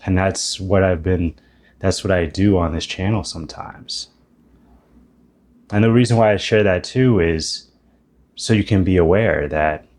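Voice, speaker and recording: Man, 30s, very deep low voice, YouTuber, reflective spiritual monologue, self-deprecating, thinks aloud. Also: a little mellow, a little hiss or hum.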